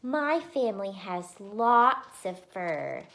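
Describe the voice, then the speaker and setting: A woman's voice: medium pitch, big pitch swings, takes it slowly, a bit bright, full character voice. A woman voicing a haughty, know-it-all little schoolgirl in a children's storybook, matter-of-fact and superior. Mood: proud, matter-of-fact. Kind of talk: children's storybook read-aloud.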